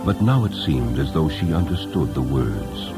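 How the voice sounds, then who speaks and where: A man reading a story as a dramatic narrator, solemn, reverent and measured. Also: extremely low pitch, sing-song. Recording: music under the voice. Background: music.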